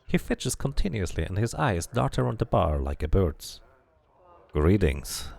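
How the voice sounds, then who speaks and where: A man's voice: lowish voice, sing-song, moderate pace, gravelly, darkish tone. A man streaming a game, switching between casual commentary and reading the story text aloud as narration.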